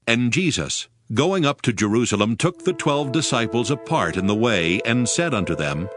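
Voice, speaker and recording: A man's voice: mid-range voice, expressive, moderate pace. A man narrating a dramatized scripture reading in a formal storytelling manner.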